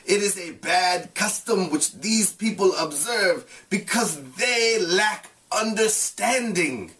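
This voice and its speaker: A man voices a dignified, scornful village elder from a storybook. The pitch is lifted and very lively, the delivery emphatic and declaiming, heard a little away from the mic. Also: takes it slowly, distant mic, heavily acted. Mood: indignant, grave.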